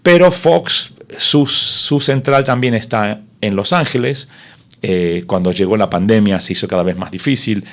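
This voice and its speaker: A man's voice: lowish voice, measured pace. A middle-aged man, a sports broadcaster whose first language is Spanish, telling his story in an interview with many fillers and restarts.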